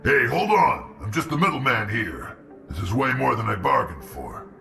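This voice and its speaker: An actor playing a gruff, menacing thug and middleman in a video game, full of bluster. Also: medium pitch, very animated, moderate pace, pushed and bright, soft background music, heavily acted. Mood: alarmed. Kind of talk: video game character acting.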